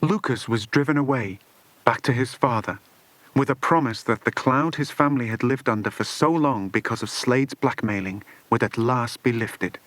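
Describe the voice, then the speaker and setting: A man's voice: medium pitch, moderate pace, a bit bright, no room sound. A man narrating a children's adventure story as an audiobook, in a dramatic scripted style.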